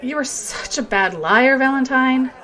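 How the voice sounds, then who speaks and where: A woman's voice: high voice, unhurried, bright, forceful tone. A woman playing a tough, mocking young punk shapeshifter in an audio drama, with a sarcastic, taunting delivery. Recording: crowd chatter behind.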